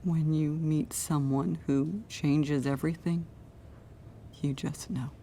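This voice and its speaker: A woman playing a gentle middle-aged mother in a video game drama, tearful and distraught. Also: low-pitched, steady tone, slow, slight background noise. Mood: tender.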